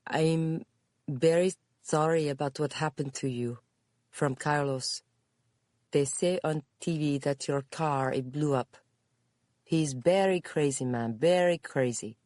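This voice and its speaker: Audiobook character: Spanish-accented woman, late forties, earnest, guilt-ridden, halting English, played by a female narrator. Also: sits low, a little mellow, dry recording, studio quality. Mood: sorry, dismayed. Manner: halting, simple broken English, emphatic repetition.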